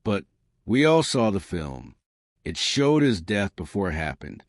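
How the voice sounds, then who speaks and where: A man's voice: fairly low pitch, sing-song, unhurried, no room sound, studio quality. A man voicing a shaken, indignant reverend in an audiobook, solemn and hollow. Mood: hollow dread. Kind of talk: audiobook character dialogue.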